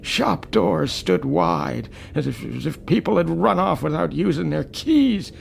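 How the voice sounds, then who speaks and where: Gravelly, haunted, lonely old man. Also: fairly high pitch, expressive, heavily acted. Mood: haunted remembering. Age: 80s, as played. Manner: halting, haunted, swinging from murmurs to outbursts. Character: lonely, anguished eighty-year-old man stranded alone on Mars. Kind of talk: dramatic radio acting, reminiscing monologue. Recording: noisy radio drama recording.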